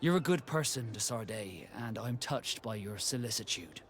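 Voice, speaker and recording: An actor playing a proud, reserved male ship captain in a video game, speaking in a measured, formal and courteous way. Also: fairly low pitch, animated, natural voice. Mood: earnest, grateful. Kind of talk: video game dialogue acting.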